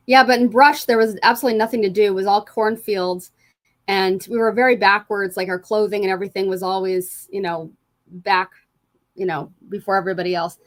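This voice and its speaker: A woman from Colorado co-hosts a talk show, reminiscing in a quick, chatty way. Her voice sits at an ordinary middle pitch for a woman. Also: fairly even intonation. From Colorado, USA. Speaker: co-host. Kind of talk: casual radio show chat.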